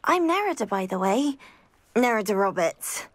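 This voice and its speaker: A woman's voice: mid-range voice, very animated, normal speed, no echo. A woman playing an earnest, chatty and ambitious teenage witch in a video game, eager and candid. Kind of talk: video game character acting.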